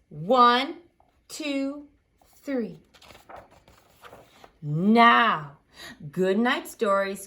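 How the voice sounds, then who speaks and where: A woman leading a library storytime for children, singing along and reading picture books aloud in an expressive, exaggerated way. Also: on the high side. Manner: expressive, exaggerated delivery aimed at small children. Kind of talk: animated children's sing-along and picture-book read-aloud.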